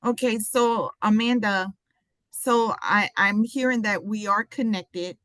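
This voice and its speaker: A woman's voice: mid-range voice, takes it slowly, a bit bright, no room sound. A woman taking part in a casual group discussion, starting hesitantly and repeating words. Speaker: participant joining a group discussion. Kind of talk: casual chat.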